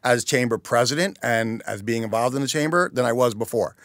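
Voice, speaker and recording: Middle-aged male guest, steady mid voice, earnest, close dry studio recording. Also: medium pitch, fairly quick, dry recording. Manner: earnest, explanatory. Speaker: chamber of commerce president, guest. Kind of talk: casual interview talk. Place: small dark studio with couches. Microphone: studio mic on stand.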